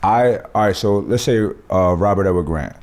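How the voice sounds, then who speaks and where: A man with a low, dark voice holds forth on his podcast. He speaks in an animated, emphatic way, full of street slang and cursing. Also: normal speed. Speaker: podcast host. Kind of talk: casual podcast conversation.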